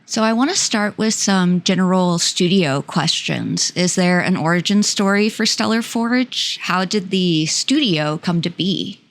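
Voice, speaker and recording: A woman's voice: fairly low pitch, lively intonation, measured pace, few pauses. A woman hosting an online interview and asking friendly, curious questions. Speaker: podcast host.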